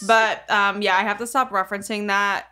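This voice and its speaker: A woman's voice: mid-range voice, flat delivery, moderate pace, sharp tone, slight background noise. A young woman co-hosting a casual podcast, rambling and sweary, leaning heavily on filler words like "like". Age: young adult. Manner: rambling, sweary, heavy use of "like". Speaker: podcast co-host.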